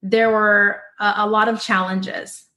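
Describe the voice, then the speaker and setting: A woman hosting an interview, with a mid-range, ordinary pitch. She asks steady, measured questions and answers her guest with encouragement. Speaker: podcast host. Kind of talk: interview conversation.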